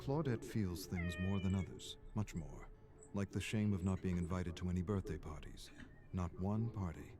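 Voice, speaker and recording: A man narrating a scripted story in a measured, dramatic storytelling manner. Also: deep voice, animated. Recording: music under the voice. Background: background music.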